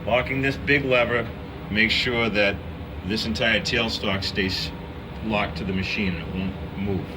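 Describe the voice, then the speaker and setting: A man's voice: lowish voice, fairly even intonation, a little slow. A man giving an informal, step-by-step hands-on demonstration as a machine shop instructor. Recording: distant mic, noisy machine shop hum.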